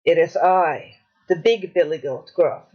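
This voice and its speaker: A woman voicing a big, heavy, fierce billy goat in a storybook, with a hoarse voice and slow, defiant boasts. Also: lowish voice, lo-fi sound. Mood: bold. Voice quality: hoarse, ugly voice.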